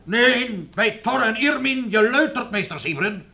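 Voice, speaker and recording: High-voiced, hot-headed medieval guildsman. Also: lively intonation, unhurried, gravelly, sharp tone, heavily acted. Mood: mocking, scornful. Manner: blustering, exclamatory, mocking. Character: hot-headed, impatient guild master urging battle. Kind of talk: radio play acting.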